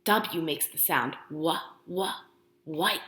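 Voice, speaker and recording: A woman preschool teacher reading aloud to young children, encouraging them with questions and pauses, using exaggerated, expressive emphasis. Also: deep voice. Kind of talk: animated children's read-aloud and lesson. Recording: roomy indoor echo.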